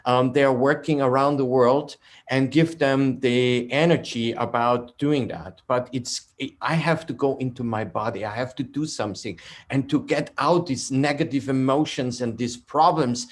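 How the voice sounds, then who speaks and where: Austrian guy on a video call, english not his first language, halting but earnest, mid pitch. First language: German. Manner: halting non-native phrasing, earnest. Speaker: company founder. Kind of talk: online panel remarks.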